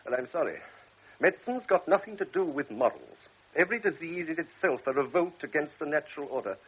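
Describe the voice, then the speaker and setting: A man's voice: on the high side, lively intonation, fairly quick, husky, muffled recording. A man playing a blunt, cynical middle-aged doctor in a radio drama, talking in a brusque, lecturing, world-weary way.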